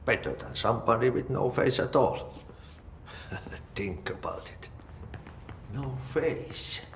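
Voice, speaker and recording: An actor playing an enigmatic older janitor in a video game, with a Finnish accent and a cryptic, folksy, friendly yet faintly menacing manner. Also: male voice, medium pitch, expressive, slow, slight background noise. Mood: cryptic, amused. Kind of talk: video game character acting. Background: music.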